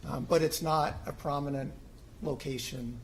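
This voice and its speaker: A man's voice: on the high side, steady tone, normal speed, a little hiss or hum. A middle-aged man presenting his own plans to a city commission, informal and hesitant with many ums and restarts. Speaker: homeowner applicant at a city commission. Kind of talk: informal presentation at a public meeting. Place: city hall meeting room.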